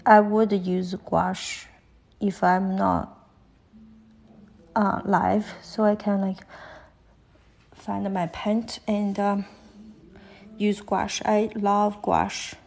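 A woman with a Chinese accent, whose first language is Chinese, talks haltingly while she draws fashion illustrations on a live stream. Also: mid-range voice, flat delivery, gravelly, a little mellow. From China. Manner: halting, thinking aloud while drawing. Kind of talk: live-stream drawing commentary. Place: at a desk, drawing on paper.

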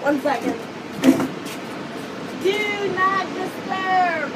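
A teenage girl chatting casually in a vlog on a hotel balcony above the beach, hoarse from losing her voice, rambling with lots of fillers. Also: very high-pitched, very slow. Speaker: vlogger. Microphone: phone or webcam close in front. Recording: wind and wave noise outdoors. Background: ocean waves and beach noise.

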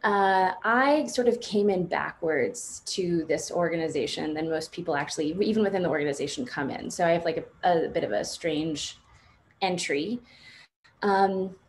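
Woman, thirties, slightly low pitch, informal storytelling, halting, reflective, video-call audio, faint background noise. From born and raised in Morocco until seven, then United States. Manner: halting, reflective, frequent "like" and "sort of". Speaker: panelist sharing personal testimony. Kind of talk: informal personal storytelling on a panel. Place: indoors at home on a video call. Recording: noisy video-call audio with room ambience.

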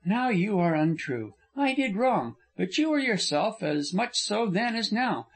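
A man's voice: high voice, big pitch swings, measured pace, slightly rough, pitched above the performer's own voice, heavily acted. A man voicing a spirited, frank young woman who speaks earnestly and with passion. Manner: earnest, impassioned.

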